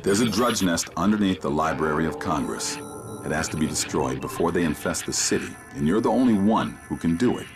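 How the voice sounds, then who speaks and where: Cryptic informant, deep-set voice, grave, earnest, measured, game dialogue, heavy background music. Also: male voice, expressive. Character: mysterious grave male informant, urgent secret ally. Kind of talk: video game cutscene acting. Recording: dialogue under loud music.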